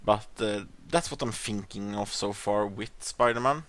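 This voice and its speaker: A man with a Swedish accent gives relaxed gameplay commentary in a somewhat low voice. He thinks out loud, stalling on ums and uhs and restarting his sentences. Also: normal speed. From Sweden. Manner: rambling, hesitant, many fillers and restarts. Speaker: gaming channel host.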